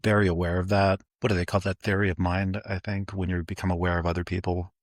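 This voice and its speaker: A man in his forties, co-hosting a podcast, talking reflectively and haltingly with many fillers and restarts. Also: low-pitched, level tone, dry recording, studio quality. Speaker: podcast co-host.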